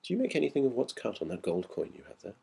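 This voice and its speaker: A man's voice: mid-range voice, wildly swooping pitch, quick pace, gravelly, dark tone, slightly rough, pitched above the performer's own voice, exaggerated voice. A man voicing a matter-of-fact country house host in a storybook reading, grave and conversational.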